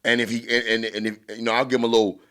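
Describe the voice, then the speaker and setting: A man with a New York accent and a booming voice, co-hosting a podcast in casual, blunt chat, swearing freely. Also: little pitch movement, racing, a bit bright, clear, resonant tone. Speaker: podcast co-host.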